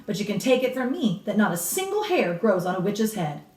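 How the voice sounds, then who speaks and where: Woman reading as an animated, bossy old grandmother. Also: medium pitch, brisk, pitched above the performer's own voice, natural voice. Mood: instructive, emphatic. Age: elderly. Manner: emphatic, instructive, snappish. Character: cigar-smoking old grandmother, authoritative, blunt, relishing grisly witch lore. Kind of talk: storybook read-aloud character. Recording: roomy echo, distant microphone.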